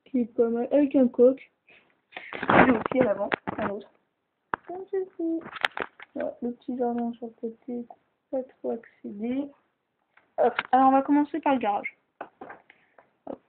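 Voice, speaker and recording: A woman's voice: on the high side, a little slow, long pauses. A woman chatting casually with lots of fillers as she shows off a toy model at home, filmed on a handheld camera held close. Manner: chatty, hesitant, many fillers. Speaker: toy builder showing a model. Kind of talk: casual show-and-tell narration. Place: indoors at home. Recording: tinny lo-fi camera audio, hissy.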